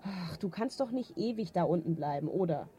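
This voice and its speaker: An actress playing a concerned, sceptical woman in a radio drama, gently questioning. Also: lowish voice, lively intonation, normal speed, slight background noise, lightly acted. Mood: worried. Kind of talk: naturalistic radio drama acting.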